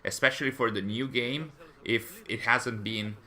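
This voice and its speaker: A man's voice: medium pitch, measured pace, bright, forceful tone. A man hosting a fan live stream, chatting in a rambling, hesitant, conversational way and answering viewers. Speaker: fan channel stream host. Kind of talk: live stream chat, reading viewer comments.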